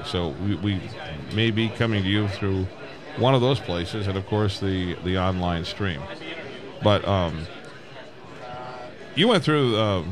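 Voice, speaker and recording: A man with a fairly low voice hosts a live radio show. He talks casually in a folksy, rambling style, often repeating himself. A busy crowd fills the background. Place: live broadcast from a busy ham radio convention. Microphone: broadcast microphone with PA amplifier. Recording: crowded convention floor, busy background chatter.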